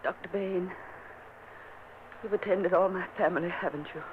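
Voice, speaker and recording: A woman's voice: on the low side, fairly even intonation, normal speed, natural voice. An actress playing a dying, secretive noblewoman who sounds weak yet defiant, in vintage radio drama style. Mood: frail. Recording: tinny old radio recording with hiss.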